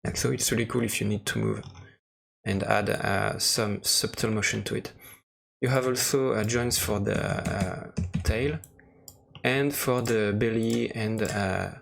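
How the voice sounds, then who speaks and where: A man who speaks English as a second language, presenting an informal software demo in a halting, hesitant way with frequent pauses. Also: lowish voice, steady tone, creaky. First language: non-native English speaker.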